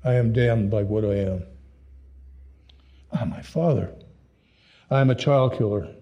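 A man's voice: fairly low pitch, muffled recording. A man voicing a troubled middle-aged man who stammers and hesitates. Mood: despairing. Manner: halting, stammering.

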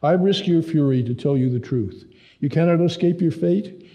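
Earnest young German man, read by a male narrator, German accent, impassioned formal English, mellow tone. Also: on the high side, normal speed, pitched above the performer's own voice, lightly acted.

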